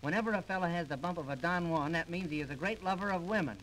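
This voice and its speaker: High-pitched man in 1930s radio comedy. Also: fast, fairly bright tone, slight background noise, poor audio. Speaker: comedy duo partner and singer. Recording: early 1930s radio transcription disc.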